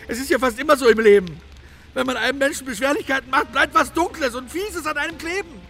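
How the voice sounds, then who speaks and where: A man's voice: very high voice, fast, sharp tone, faint music behind, full character voice. An actor playing a thin, grey, timid man in a radio play, pleading anxiously and with pedantic politeness. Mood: rising distress.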